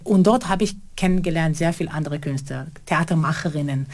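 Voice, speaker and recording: Middle-aged choreographer, earnest and halting interview answers, lowish voice. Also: female voice, a little mellow. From Israel. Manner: halting, non-native grammar, earnest. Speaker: choreographer and theater co-founder.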